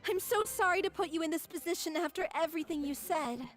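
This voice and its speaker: An actress playing a frail, apologetic young singer in a game, hesitant and dutiful. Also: female voice, very high-pitched, fast talker, a bit bright, faint music behind. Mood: guilty, meek.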